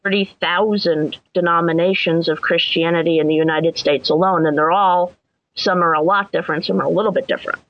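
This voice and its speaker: A woman's voice: on the low side, steady tone, brisk, no echo, lo-fi sound. A woman bishop telling her story as a guest, earnest and self-deprecating. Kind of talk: casual interview storytelling.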